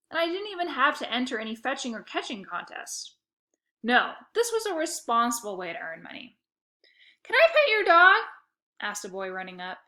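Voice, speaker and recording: A woman reading a children's chapter book aloud in a lively storytelling manner. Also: on the high side. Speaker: reader.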